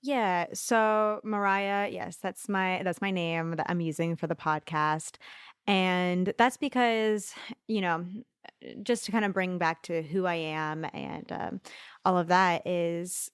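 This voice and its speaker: Guest, young woman, smooth voice, dry close mic, talkative and quick to laugh. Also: lowish voice, no echo. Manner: chatty, laughs often, talks fast when nervous. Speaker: podcast guest. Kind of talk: candid interview chat.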